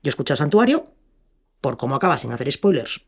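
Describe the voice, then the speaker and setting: A man's voice: mid-range voice, sing-song, fast talker, husky. A man with an accent from Spain, co-hosting a podcast in casual interview chat. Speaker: podcast co-host.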